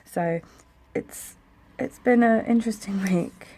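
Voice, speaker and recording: A young woman with a croaky voice, chatting casually at home while decorating her planner. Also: medium pitch, slow. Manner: chatty, rambling, soft-spoken. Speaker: planner vlogger. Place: home room near a busy road. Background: traffic noise.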